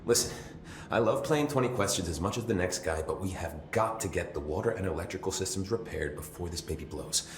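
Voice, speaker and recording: An actor playing a chatty, nervy, loyal maintenance security chief in a video game, rambling informally with wry swearing. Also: male voice, sits low, brisk, few pauses, reverberant, slight background noise. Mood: wry, urgent.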